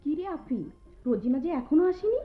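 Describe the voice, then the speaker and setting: A playful, gossipy young woman in a radio play. Her high voice is very animated. The sound is muffled, with faint music. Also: moderate pace. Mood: playful gossip. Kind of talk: Bengali radio drama acting. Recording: muffled audio over music.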